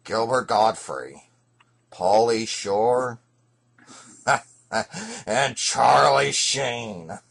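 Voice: mid-range voice, expressive, extremely slow, gravelly, pauses often, breathy edge, heavily acted. A performer playing an exasperated male showbiz actor griping on the phone in an amateur comedy skit. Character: fed-up male show-business performer phoning his agent. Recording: noisy home recording with clicks.

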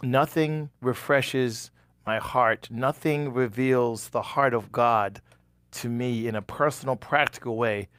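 Earnest middle-aged pastor on a headset. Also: male voice, mid-range voice, slow, fairly bright tone, smooth, no echo. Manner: earnest, personal preaching tone. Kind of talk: devotional message. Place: small broadcast studio. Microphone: headset mic.

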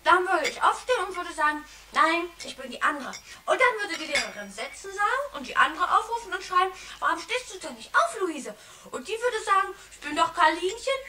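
A woman playing a lively, imaginative little girl in a 1960s German radio play, chattering playfully. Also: very high voice, a little fast, full character voice. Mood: excited, gleeful. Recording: distant, noisy old vinyl record.

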